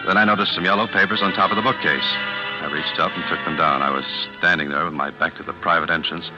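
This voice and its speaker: A man acts an uneasy young office clerk in a 1940s radio drama. His voice is extremely deep, plain-spoken and hesitant, with music clearly behind it on a dull vintage recording. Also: fairly even intonation, quick pace, natural voice. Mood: uneasy, reflective.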